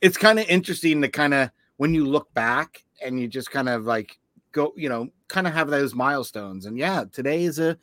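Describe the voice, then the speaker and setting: A man's voice: medium pitch, lively intonation, moderate pace, a bit bright, no room sound. A man from Canada co-hosting a casual podcast, rambling and enthusiastic, still worn out from being sick. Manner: rambling, enthusiastic, many fillers. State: tired, recovering from illness. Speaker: podcast co-host.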